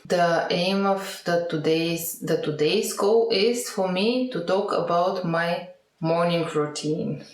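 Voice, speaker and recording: A middle-aged woman from Bulgaria with a Bulgarian accent, speaking non-native English in an unscripted monologue to camera, halting and correcting herself as she searches for words. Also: lowish voice. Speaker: vlogger practising English speaking. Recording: echoey, roomy sound.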